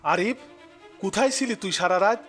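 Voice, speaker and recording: Cartoon parent, played by a man: anxious, caring, tender, pitched high. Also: moderate pace, a bit bright, faint music behind. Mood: worried, tender. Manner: worried, tender. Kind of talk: cartoon drama acting.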